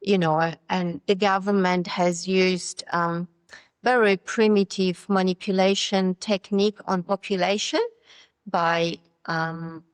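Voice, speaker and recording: A middle-aged woman with a Polish accent speaks in a fairly low voice. She talks in a thoughtful, halting way, starting sentences again as she goes. The sound is dry and close, with no room around it. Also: fairly even intonation, smooth, no room sound. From Poland. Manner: thoughtful, halting, with restarts and fillers. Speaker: guest. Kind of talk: personal story interview.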